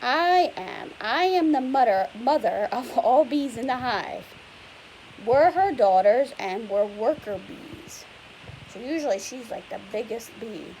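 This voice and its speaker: Lady w a highish voice doing a kids read aloud, explains stuff simply, bit of hiss in the background. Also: animated, measured pace, a little mellow, poor audio. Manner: patient, explanatory, with questions to the listener. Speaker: teacher reading a picture book aloud to children. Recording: clear background hiss and noise.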